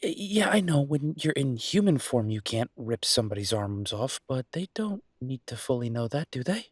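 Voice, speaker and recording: A man playing an easygoing, teasing chef boyfriend in an intimate audio roleplay, chatting with affectionate, playful exasperation in a husky voice. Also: mid-range voice, very animated, moderate pace, no room sound, clean studio sound. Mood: playful, coaxing. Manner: conversational, playful, exasperated banter.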